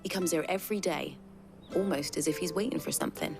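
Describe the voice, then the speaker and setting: A woman's voice: sits low, fast, gravelly, over music. A woman playing a talkative, wistful villager in a fantasy video game, folksy and polite, with a British accent. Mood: wistful, apologetic. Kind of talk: video game cutscene acting.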